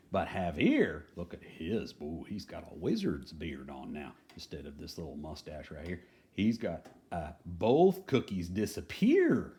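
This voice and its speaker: A man reading a children's picture book aloud in a folksy, playfully dramatic manner, adding chatty asides. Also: low voice. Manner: folksy, playful dramatic delivery, dropping to hushed tones.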